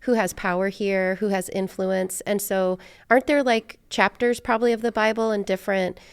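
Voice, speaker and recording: A woman's voice: mid-range voice, steady tone, normal speed, clean tone. An American woman hosting a conversational interview, informal, thinking aloud as she works toward a question. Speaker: podcast host.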